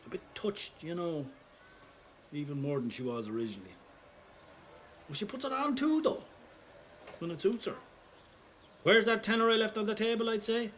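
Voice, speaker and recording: Over noticeable background noise comes an actor's turn as an older Irish man in an audio drama monologue. His fairly high voice swings widely up and down as he rambles through his tale. He is a wry, callous rogue who swears freely and sounds like he is yarning in a pub. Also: very animated, normal speed, long pauses, fairly bright tone. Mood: wry, grumbling. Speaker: storyteller. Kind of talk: dramatic monologue told as casual pub-style anecdote.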